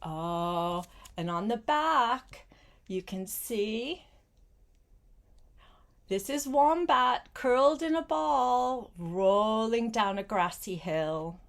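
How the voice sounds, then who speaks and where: Older woman reading slowly to a child. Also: a little high. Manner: gentle, simple child-directed talk with questions and short phrases. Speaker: grandmother reading aloud to a child. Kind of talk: read-aloud picture book storytelling with asides to a young child.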